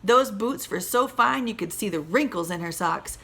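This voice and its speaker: A woman teacher reading a children's story aloud in an animated, teacherly way. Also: medium pitch, creaky, breathy edge. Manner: animated, teacherly, pauses to question listeners.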